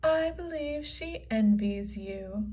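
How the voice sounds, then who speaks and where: A woman's voice: a little high, expressive, slow, mellow, far from the mic. A woman voicing a vain and elegant female unicorn, speaking grandly in a children's comic read-aloud. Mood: calm. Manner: grand, formal storybook delivery.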